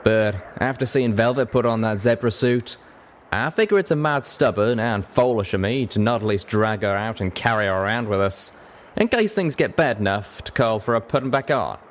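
A man's voice: on the low side, animated, normal speed, close to an everyday voice. Man voicing a drawling cowboy pegasus, folksy and bashful. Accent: American South or West drawl. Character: young male pegasus cowboy, plain-spoken, bashful ex-captain.

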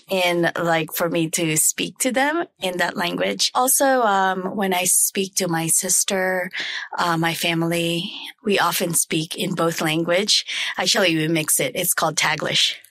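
Bright-voiced woman from the Philippines. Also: on the low side, no room sound. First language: Tagalog. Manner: thoughtful, hesitant with many fillers. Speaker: guest. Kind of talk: interview answers.

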